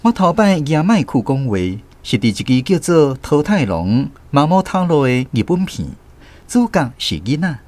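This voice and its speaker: A man's voice: medium pitch, a little mellow, lightly acted. An actor with a Taiwanese accent playing a man who narrates memories of his boyhood apprenticeship in a scripted radio drama. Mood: puzzled, reflective. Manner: reflective storytelling, explains as he goes.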